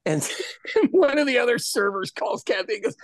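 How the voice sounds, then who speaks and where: A middle-aged man hosting a podcast in casual conversation, telling stories with lively energy and acting out the voices of people he quotes. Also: extremely high pitch, moderate pace, no room sound. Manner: animated storyteller, acts out quoted voices. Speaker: podcast host.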